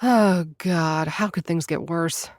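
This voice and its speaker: A woman's voice: lowish voice, slow pace, no echo, studio quality. A woman narrating and acting in an audio drama, wry and easily flustered, speaking to listeners. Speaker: time-traveler narrator addressing listeners.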